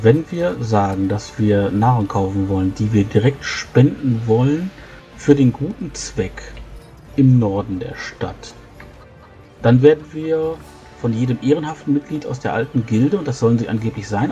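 Male role-player, soft-edged mellow tone, improvised discussion, halting, faint music underneath. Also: lowish voice. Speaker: tabletop role-playing player. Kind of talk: improvised in-character discussion in a tabletop game.

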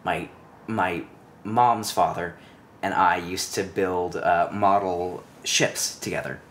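A man's voice: low-pitched, slow, slight background noise. A young man in his twenties, a podcast guest, rambling through childhood stories in a casual chat on a living room couch, speaking into a studio mic on a stand. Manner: rambling, many restarts and fillers, animated storytelling. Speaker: podcast guest, cinematographer and actor.

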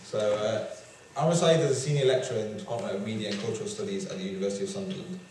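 Young male student host, low-pitched, halting delivery, recorded from afar in a reverberant lecture hall. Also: darkish tone, a little hiss or hum. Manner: hesitant, many "um" and "uh". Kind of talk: introducing a speaker. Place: large lecture hall at podium. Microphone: far camera. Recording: echoey hall from far off.

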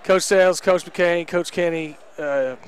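A man's voice: sits high, fairly even intonation, unhurried. A man in his thirties, a head basketball coach, talking candidly after a game on a headset mic in a gym, with lots of fillers. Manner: candid, emphatic, many fillers. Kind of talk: post-game interview. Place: indoor gym court.

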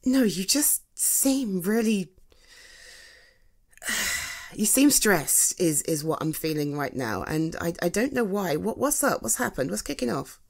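A woman performs a supportive British friend in an intimate ASMR roleplay. Her voice sits a little low and moves very slowly. She speaks conversationally and haltingly, reassuring with a gentle, teasing touch. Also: big pitch swings, vocal fry, heavily acted. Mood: concerned, gentle.

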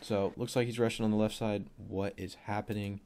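A young man with a cold and a voice deeper than usual, casually rambling through a football film breakdown with plenty of fillers. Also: little pitch movement, fairly quick, darkish tone. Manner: rambling, offhand, thinks aloud with many fillers.

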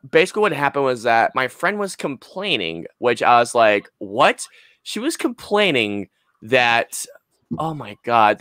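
A man's voice: medium pitch, bright, forceful tone, no echo. A man in his twenties chatting casually and telling stories in an emphatic, rambling, sweary way, close on a desk mic. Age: 20s. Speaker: podcast co-host. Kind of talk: casual chat, storytelling. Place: small room at home. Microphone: close desk mic on a boom arm.

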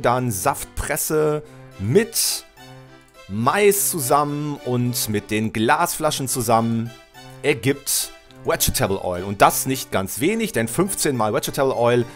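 German man with a cold, chatty. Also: medium pitch. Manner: chatty, thinks aloud, self-correcting, addresses viewer. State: has a cold, voice lower than usual. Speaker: Let's Play host. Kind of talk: casual gameplay commentary. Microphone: headset mic at desk, webcam.

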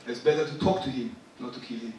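Halting young man in a roomy hall. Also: mid-range voice, darkish tone, far from the mic, a little hiss or hum. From Syria. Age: 20s. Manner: halting, searching for words, restarts. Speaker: rapper and guest artist. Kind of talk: stage talk. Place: large theater stage with live audience. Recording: echoey stage, picked up from afar.

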